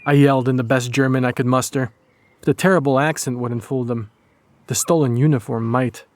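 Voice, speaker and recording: A man's voice: mid-range voice, fairly even intonation, fairly quick, a little mellow, dry recording, close to an everyday voice. A man playing a bitter, brooding Polish resistance executioner narrating his wartime journal in a dramatic audiodrama. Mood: grim, tense.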